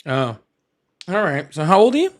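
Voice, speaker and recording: A man hosting a casual political call-in livestream, animated and chatty, laughing often and checking in with "all right?" and "okay?" as he reads chat and argues with callers. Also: sits high, normal speed. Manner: argumentative with callers. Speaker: livestream host.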